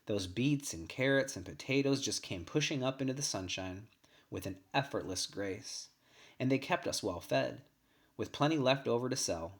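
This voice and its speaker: A man with a medium-pitched voice reads a first-person short story aloud as scripted narration. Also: expressive, moderate pace. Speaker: story reader.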